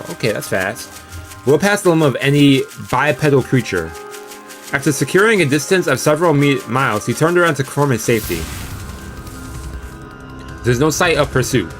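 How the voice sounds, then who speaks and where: A man reads a visual novel aloud for a stream, with faint music behind him. He trips over the odd word and slips easily from narration into casual commentary, at a middling pitch. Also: a bit bright. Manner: stumbles over words while reading, breaks into casual asides. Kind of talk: live let's-read narration with casual reactions. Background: game music.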